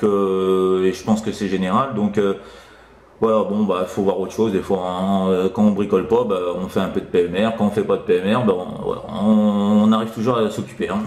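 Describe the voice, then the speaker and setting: A man chatting casually as a CB radio operator, in a hesitant, rambling, chuckling way. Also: fairly low pitch. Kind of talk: casual radio contact chat. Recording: roomy, echoing room sound.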